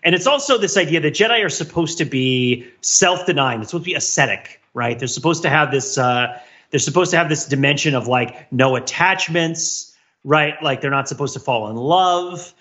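A man's voice: on the high side, lively intonation, normal speed, bright, forceful tone, dull audio. A man hosting a casual pop-culture discussion podcast, talking emphatically and repeating phrases for effect. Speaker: podcast host.

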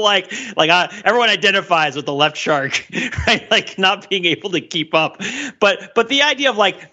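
A man's voice: a little high, pushed and bright. A man co-hosting a podcast with casual, humorous commentary, recorded in slightly dull, muffled audio. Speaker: podcast host.

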